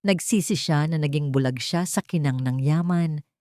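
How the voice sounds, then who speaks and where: A woman narrates a dramatic scripted story in a fairly low voice. Her delivery is solemn and measured, and the recording is close and dry, with very little room sound. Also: warm tone, dry recording.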